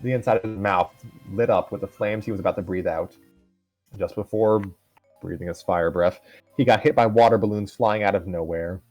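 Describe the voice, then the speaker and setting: Man, story reader, casual, stumbles over words, low pitch, soft music behind. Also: moderate pace. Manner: casual reading with stumbles and asides. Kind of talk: reading a fan story aloud.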